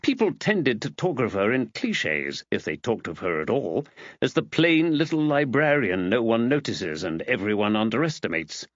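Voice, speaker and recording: A man reading a novel aloud as an audiobook narrator, measured and expressive. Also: mid-range voice, no room sound, lo-fi sound. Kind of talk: scripted literary narration.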